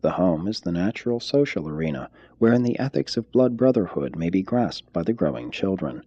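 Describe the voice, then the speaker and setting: A man reading a formal text aloud as scripted narration, in a measured, deliberate way. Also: low voice, sing-song, dark tone, husky, no echo, muffled recording. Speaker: audiobook reader.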